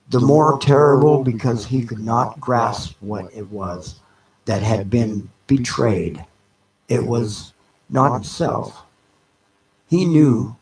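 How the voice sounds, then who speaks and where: A man narrates a literary story in a medium-pitched voice. He reads slowly and deliberately, setting each phrase apart with measured, dramatic pauses. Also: darkish tone, husky, low-quality recording. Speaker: narrator reading a novel aloud.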